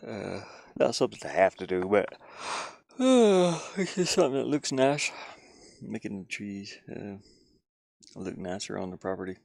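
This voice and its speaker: A man's voice: fairly low pitch, big pitch swings, normal speed, gravelly, a little mellow, dry recording, studio quality. A man live streaming game design work, rambling and musing casually as he hesitantly thinks aloud.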